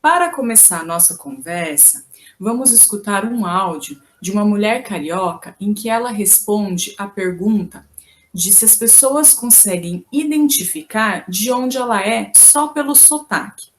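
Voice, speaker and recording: Woman, from Brazil, language teacher, video lesson, patient, careful explaining, slow pace. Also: medium pitch, animated. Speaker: Portuguese teacher.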